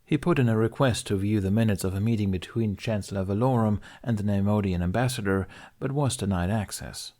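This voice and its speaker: A man with a fairly low voice narrates a piece of fiction. He reads in the manner of an audiobook, delivering scripted prose. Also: fairly quick, hardly any pauses, mellow. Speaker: audiobook reader.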